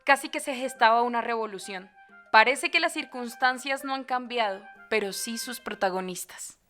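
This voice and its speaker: A woman's voice: a little high, moderate pace, fairly bright tone, soft background music. A woman with a Colombian accent hosting a podcast with scripted narration. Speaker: podcast host.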